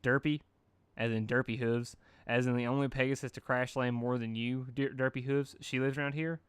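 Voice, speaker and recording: A man's voice: medium pitch, level tone, moderate pace, clean tone, no echo, close to an everyday voice. A man voicing a confident, unfazed female griffin with a cool, teasing manner. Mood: cool, incredulous.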